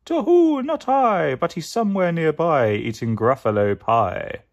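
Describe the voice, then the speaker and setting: A man's voice: a little high, animated, a little slow, gravelly, voice pushed up. A man voicing a hooting, swooping woodland owl in a children's storybook. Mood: airy, sly. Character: hooting owl, small flying woodland creature.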